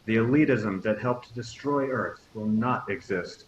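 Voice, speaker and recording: A man's voice: on the low side, lightly acted. A man reading a commanding captain. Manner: speechmaking, measured. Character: warm, commanding captain in his fifties. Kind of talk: table read. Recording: echoey, muffled, hissy audio.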